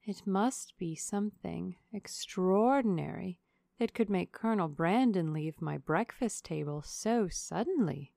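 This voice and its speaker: A genteel lady of the house, as a woman narrator puts her on, speaks with reserved politeness. Her delivery is measured and proper, in a dry audiobook reading. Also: lowish voice, animated, creaky, warm tone, dry recording. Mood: mild concern.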